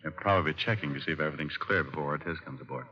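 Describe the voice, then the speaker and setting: An actor playing a wry, tough private detective in an old radio drama, speaking and narrating in clipped, hard-boiled phrases. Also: male voice, rumbling low, very fast. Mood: terse narrating. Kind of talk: 1940s-50s radio detective drama acting. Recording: dull old radio recording with loud music.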